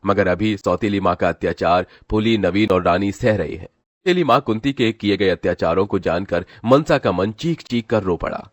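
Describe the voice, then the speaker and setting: Male narrator, fairly low pitch, scripted dramatic storytelling, dry studio-like sound. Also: moderate pace, no room sound.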